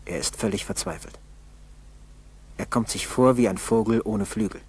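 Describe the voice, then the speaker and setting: A man narrating a scripted 1960s radio play in a grave, measured, husky voice. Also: fairly low pitch, a little mellow.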